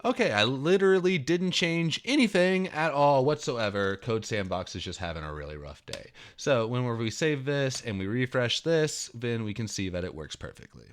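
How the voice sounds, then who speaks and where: A man in his thirties presenting a programming tutorial, mixing expressive, emphatic reading aloud with casual walkthrough narration. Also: medium pitch, moderate pace, hardly any pauses. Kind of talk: dramatic reading of article mixed with casual screencast walkthrough.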